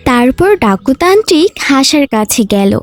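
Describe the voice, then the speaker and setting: Woman as a high, lively cartoon animal mother. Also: moderate pace. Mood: storytelling. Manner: expressive, motherly. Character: firm but indulgent animal mother telling stories. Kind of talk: cartoon storytelling.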